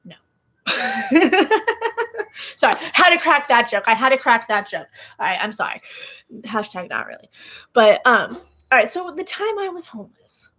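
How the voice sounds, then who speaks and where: A young woman in her twenties telling a personal story in casual chat, animated, laughing and going off on tangents. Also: fairly high pitch, a little slow, long pauses. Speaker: vlogger.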